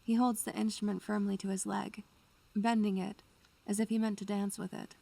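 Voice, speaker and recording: A woman playing a wistful, curious young student who narrates an audio drama in measured, poetic phrases. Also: mid-range voice, level tone, gravelly, warm tone, dry recording. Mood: wistful, hushed. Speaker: narrator and lead character. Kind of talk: first-person audio drama narration and dialogue. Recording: music under the voice.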